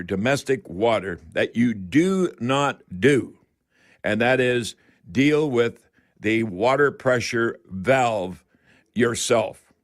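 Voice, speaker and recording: A man hosting a radio show, giving folksy, unscripted practical advice with halting, explanatory delivery. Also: medium pitch. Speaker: radio host and home improvement advisor.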